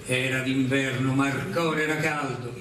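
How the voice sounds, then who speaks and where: A man gives a dramatic theatrical recitation of verse, solemn and declamatory, with measured pauses. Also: mid-range voice, steady tone. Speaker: stage performer reciting poetry. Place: theater stage, recorded from the audience. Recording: echoey theater from far off, clear noise.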